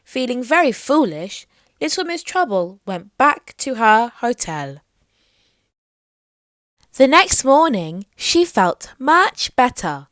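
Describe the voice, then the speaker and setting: Animated, high-voiced woman storyteller. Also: slow pace, no echo, studio quality. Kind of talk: expressive children's picture book read-aloud.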